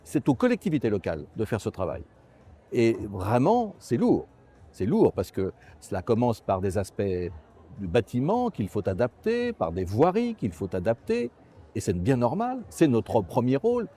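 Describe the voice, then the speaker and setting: Mellow, animated man, a local official. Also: mid-range voice, normal speed. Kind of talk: interview remarks.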